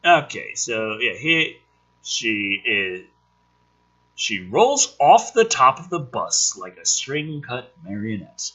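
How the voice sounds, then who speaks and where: A husky-voiced man reading a script aloud dramatically, with exasperated, sweary commentary as host. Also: medium pitch, sing-song, slow, pushed and bright. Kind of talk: animated script read-aloud with profane commentary.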